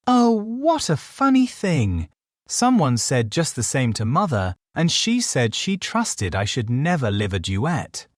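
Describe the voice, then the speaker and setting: A man's voice: medium pitch, wildly swooping pitch, unhurried, dry recording, studio quality. A man voicing a naive, dreamy young Edwardian lady in a storybook, speaking politely and earnestly. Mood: amused surprise. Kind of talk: audiobook character dialogue.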